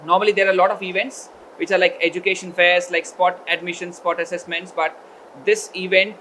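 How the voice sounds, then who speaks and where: Man, high voice, formal event speaker, hesitant delivery, faint background hiss. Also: bright, forceful tone, low-quality recording. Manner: hesitant, many filler pauses. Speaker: education consultancy representative. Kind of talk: formal welcome speech at a college event.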